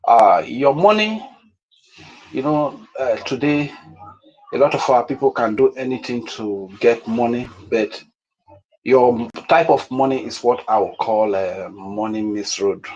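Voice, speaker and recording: Male political activist, Nigerian accent, mid-pitched voice; deliberate, emphatic delivery built on repetition and rhetorical questions. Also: darkish tone, lo-fi sound. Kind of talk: impassioned political address.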